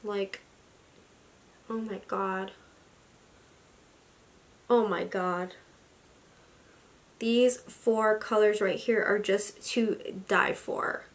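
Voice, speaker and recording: A young woman in her twenties chatting about makeup, gushing and chatty, full of likes and excited exclamations, filmed in a small room at her vanity. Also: medium pitch, extremely slow, long pauses. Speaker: beauty vlogger. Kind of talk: casual makeup haul chat. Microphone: camera at desk distance.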